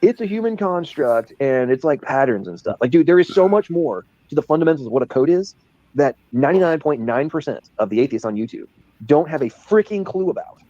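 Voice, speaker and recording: A man's voice: fairly high pitch, lively intonation, a little fast, mellow, no echo. A man arguing in a heated informal debate, insistent and exasperated. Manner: exasperated, foul-mouthed, insistent, cutting in. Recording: dry, dull lo-fi audio.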